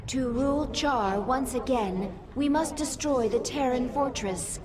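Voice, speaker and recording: Alien lieutenant: loyal, martial, formal, mid-pitched, distant amid noisy ambience. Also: female voice, unhurried, a little mellow. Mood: deferential, martial. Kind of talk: video game voice acting.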